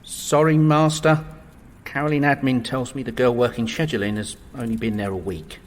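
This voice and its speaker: A man's voice: mid-range voice, steady tone, normal speed, long reverb tail, natural voice. A man playing a deferential, long-suffering servant to a vampire in a comic audio drama, obsequious and apologetic.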